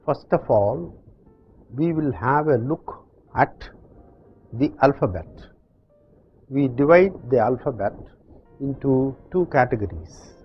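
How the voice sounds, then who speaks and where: An older man from South India with a South Indian accent, teaching in slow, deliberate steps in front of a chalkboard. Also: medium pitch, lively intonation, muffled recording, soft background music. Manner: slow, deliberate explanations with pauses. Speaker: language teacher. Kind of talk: slow classroom instruction. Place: classroom with chalkboard.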